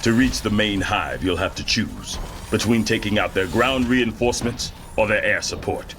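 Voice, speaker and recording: An actor playing a proud older American military general with a blunt, salty, commanding manner in a video game cinematic. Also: male voice, lowish voice, lively intonation, normal speed, fairly bright tone, a little hiss or hum. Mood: weary, stern.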